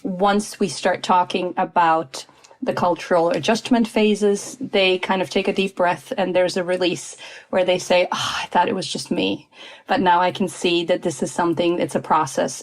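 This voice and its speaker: A woman's voice: fairly low pitch, poor audio. Earnest Polish-born woman guest. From Poland. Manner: thoughtful, earnest, many fillers. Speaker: guest, expat adjustment coach. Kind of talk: conversational podcast interview.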